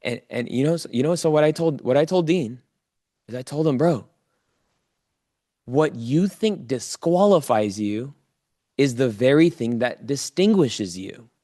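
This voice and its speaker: A man's voice: medium pitch, expressive, measured pace, mellow, no room sound. Emphatic male motivational coach, dry close recording. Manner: emphatic, repeats key phrases for effect; informal, addresses listeners directly. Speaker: presenter coaching a live online audience.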